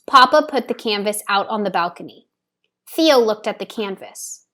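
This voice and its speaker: A woman reading a children's picture book aloud with deliberate, careful phrasing for young listeners. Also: medium pitch, expressive, sharp tone. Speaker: storybook reader. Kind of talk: children's story read-aloud, scripted narration.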